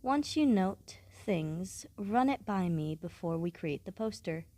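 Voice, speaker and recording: A woman playing a blunt, aloof, studious student in a scripted audio roleplay, speaking in a deadpan way with formal wording. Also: lowish voice, takes it slowly. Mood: blunt, cool. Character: blunt, aloof, studious female student, coolly matter-of-fact.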